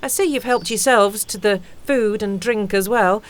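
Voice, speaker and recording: A woman playing a helpful, chatty former pilot in an amateur audio play, speaking in a deliberate, friendly way. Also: mid-range voice, lightly acted. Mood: matter-of-fact. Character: helpful, chatty female ex-pilot offering a rescue flight. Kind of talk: amateur audio drama acting.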